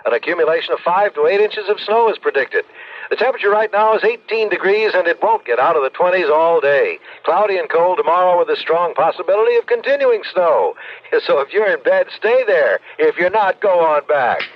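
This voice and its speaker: A man's voice: high-pitched, expressive, fairly quick. A man playing a wry morning radio announcer in a 1970s radio drama, delivering breezy broadcast patter. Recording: dull old radio drama recording.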